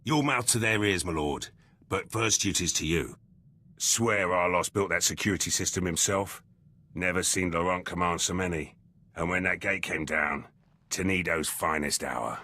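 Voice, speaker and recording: An actor voicing a gruff, battle-hardened soldier in a video game, terse and blunt, speaking in clipped sentences. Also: male voice, deep voice, moderate pace, sharp tone, exaggerated voice. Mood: proud, matter-of-fact. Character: gruff, battle-hardened male military commando, loyal and brash.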